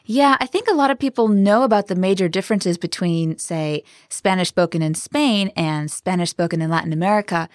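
A woman's voice: fairly low pitch, fairly quick, hardly any pauses, dry recording. A woman hosting a scripted language lesson with an upbeat teacher delivery, recorded close on a studio mic.

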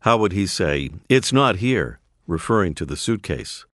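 A man narrating a scripted language course, clearly prompting the listener to repeat phrases. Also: low-pitched, sing-song, a little slow, fairly bright tone, no room sound. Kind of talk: scripted audio lesson instructions. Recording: dry studio voice-over.

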